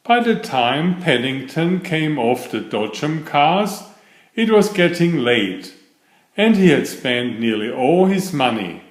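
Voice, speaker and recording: Slow, lively male storybook reader. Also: a little high. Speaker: children's story reader and author. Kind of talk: scripted storybook narration, read aloud. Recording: roomy, echoing sound.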